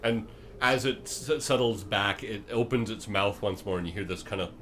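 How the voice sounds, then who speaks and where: A man improvising tabletop game narration as game master, building scenes in halting fragments. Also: lowish voice, a bit bright, slight background noise.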